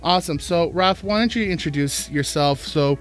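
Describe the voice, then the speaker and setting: A man's voice: fairly high pitch, normal speed, soft background music. Upbeat male YouTube host. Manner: upbeat, eager questions. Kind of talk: interview hosting.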